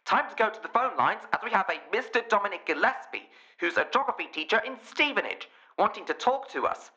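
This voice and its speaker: A man's voice: sits high, very animated, fairly quick, pushed and bright, heavily acted. An English man playing a pompous, pedantic geography radio DJ in a comedy radio play, with presenter patter through a radio filter. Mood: brisk, officious. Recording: dull band-limited radio sound.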